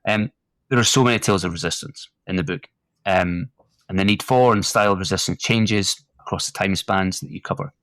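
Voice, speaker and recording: A man's voice: low-pitched, brisk, a bit bright, no echo. A middle-aged man hosting a podcast interview, chatty and encouraging, with plenty of fillers. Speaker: podcast host. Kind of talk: casual interview chat.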